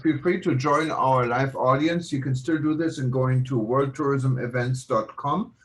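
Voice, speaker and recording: A man's voice: medium pitch, fairly even intonation, normal speed. Man hosting a live online show. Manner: chatty, apologetic, loose announcing with restarts. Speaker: host. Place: home room in Honolulu.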